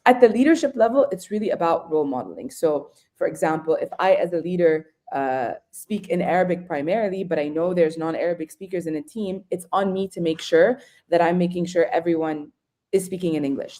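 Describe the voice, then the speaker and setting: Woman, low voice, warm, explains step by step, checks in often, video call. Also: brisk. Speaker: webinar guest. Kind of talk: explaining in a webinar.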